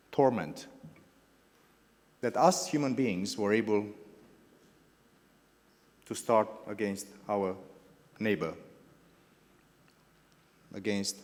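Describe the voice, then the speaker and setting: A middle-aged man with a Romanian accent gives a halting welcome speech as founding president, restarting his phrases and piling up lists of words. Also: fairly low pitch, a little mellow. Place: large indoor gala hall. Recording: reverberant banquet hall over a PA.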